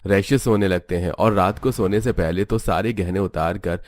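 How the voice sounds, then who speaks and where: A male storyteller with a low voice narrates a domestic cartoon tale. His delivery is scripted narration, set out as a story for viewers. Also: normal speed, no room sound, clean studio sound.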